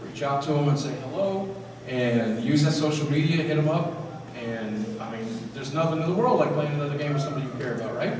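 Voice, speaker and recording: A man speaking to a live audience at a convention panel in an energetic, direct way, with quick restarts. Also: fairly high pitch. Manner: energetic, talks straight to the crowd with quick restarts. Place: large echoey convention hall. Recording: echoey convention hall from far off with noise. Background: hall ambience noise.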